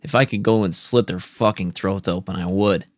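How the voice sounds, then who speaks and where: A man's voice: low voice, fairly even intonation, normal speed, no room sound, studio quality, natural voice. A man playing a drunk, snarling company boss with a country twang. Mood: furious. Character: drunk frail older male chemical boss, vicious.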